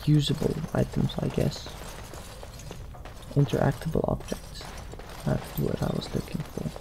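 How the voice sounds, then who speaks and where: Slow, gravelly-voiced male gamer. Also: a little high, warm tone, a little hiss or hum. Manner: musing, thinking aloud. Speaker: let's play host. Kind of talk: casual game commentary with role-played replies. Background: game music.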